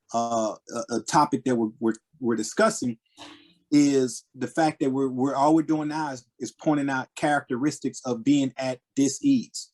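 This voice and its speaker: Man, earnest explainer, group podcast, middle pitch. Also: a little mellow. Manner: earnest, explaining, frequent restarts. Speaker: podcast council member. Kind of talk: casual group discussion.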